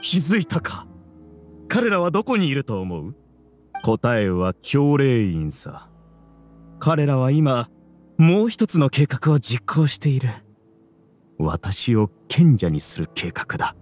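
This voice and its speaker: A man's voice: medium pitch, big pitch swings, takes it slowly, long pauses, darkish tone, soft background music. A man voicing an arrogant scholar villain in a video game, grandiose and lecturing in a theatrical way. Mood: triumphant, scheming. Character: arrogant male scholar villain, mastermind of a hive mind. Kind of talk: Japanese video game voice acting.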